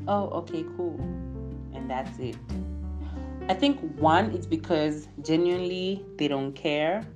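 Low-voiced woman giving candid pep talk. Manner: candid, animated, acts out quoted remarks. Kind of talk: casual motivational monologue. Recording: music playing underneath.

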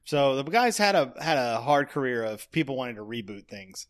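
A man co-hosting a podcast, trading deadpan jokes in casual chat. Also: mid-range voice, normal speed. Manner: joking, deadpan jabs. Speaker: podcast co-host.

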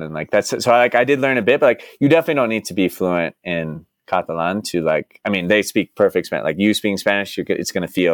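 A man's voice: low-pitched, no room sound, studio quality. A man from Dallas, a guest in a casual interview, rambling with lots of "like" and restarts. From United States (Dallas). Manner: rambling, heavy use of "like" and restarts. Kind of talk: casual conversation.

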